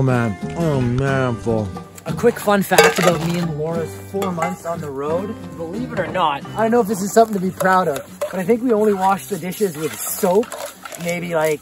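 A young man vlogging casually while he cooks outdoors beside his truck, upbeat and chatty, laughing often and talking to his viewers, recorded on the camera mic. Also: high voice. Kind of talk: casual vlog while cooking. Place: outdoors in a snowy parking lot by a pickup truck. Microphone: camera mic, handheld and head-mounted point of view. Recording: noisy outdoor camera audio.

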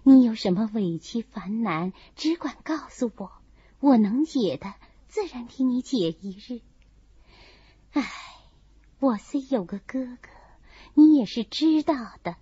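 A woman puts on a composed young lady from a classical novel, kindly and sensible. She speaks slowly, soothing like an older cousin in an audiobook drama. The recording is slightly dull and close. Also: fairly high pitch, mellow, breathy edge, dry recording, voice pushed down, full character voice. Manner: soothing, measured.

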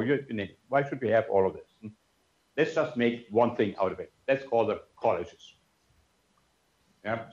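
A man's voice: medium pitch, darkish tone. An older man from Germany with a German accent, giving a memorial lecture to an audience in a conference room, telling personal stories in a conversational way, full of "you know" and "yeah". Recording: roomy, slightly dull lecture room sound.

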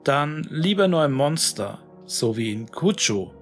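A man narrating a scripted horror monologue in a musing, deliberate, brooding manner with dramatic pauses. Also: fairly high pitch, very animated. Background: music.

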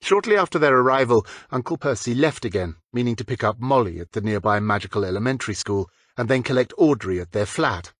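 Male fiction narrator, husky texture, medium pitch, close dry recording. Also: animated, fast talker, few pauses, no room sound. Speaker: audiobook narrator.

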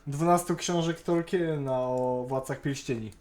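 Halting higher-voiced man in casual chat. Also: darkish tone. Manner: halting, stammers and repeats word starts. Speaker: co-host on a Skype call. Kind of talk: casual chat reviewing an animated series. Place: online voice call.

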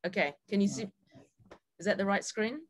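A woman's voice: medium pitch, moderate pace. A woman with a broad New Zealand accent giving an informal presentation as a guest speaker, casual and a little hesitant. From New Zealand and Sabah, Malaysia. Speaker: guest speaker, film director.

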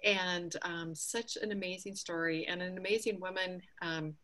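A woman's voice: fairly low pitch, fairly even intonation, moderate pace, sharp tone, a little hiss or hum. A woman hosting an online summit, introducing her guest with effusive enthusiasm over a video call. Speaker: host.

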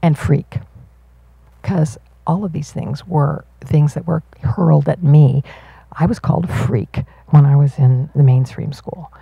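Low, gravelly, husky woman interpreter. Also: steady tone, a little slow, warm tone, no echo, highs cut off. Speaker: sign language interpreter voicing a panelist. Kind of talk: live voicing of a signed panel talk. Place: auditorium stage panel.

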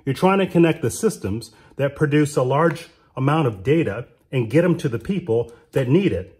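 A man giving a plain, steady whiteboard-style explanation while drawing on sticky notes at a desk. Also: medium pitch, moderate pace.

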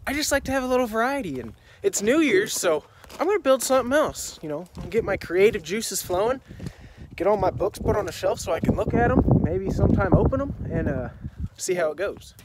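A man's voice: high-pitched, animated, a little fast. A young man vlogging a DIY woodworking build in a farm shed and porch workshop, joking and self-deprecating as he talks into a nearby phone camera. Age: young adult. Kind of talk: casual workshop vlog. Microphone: phone camera held or propped nearby. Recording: echoey room with clear background noise.

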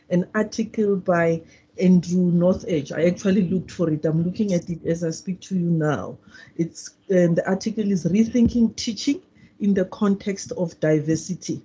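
A woman's voice: lowish voice, dark tone, breathy edge. A woman attending an online seminar, politely giving a formal comment. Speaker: seminar participant. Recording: muffled video call audio.

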